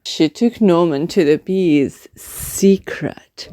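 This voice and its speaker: A woman reading a children's picture book aloud as a storyteller, in a deliberate, expressive narrating style. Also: lowish voice, no room sound.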